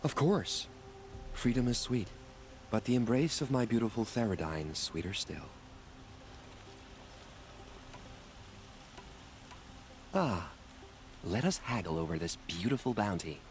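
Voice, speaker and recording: An actor playing a romantic merchant of wild flowers in a video game, speaking in florid, theatrical, gallant phrases. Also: male voice, lowish voice, expressive, moderate pace, slight background noise. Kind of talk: video game character dialogue.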